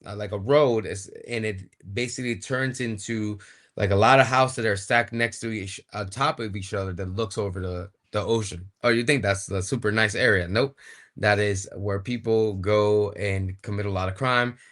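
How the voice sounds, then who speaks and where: A man, a wrestling guest, explaining things in a relaxed, casual way. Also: lowish voice, normal speed. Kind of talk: casual chat.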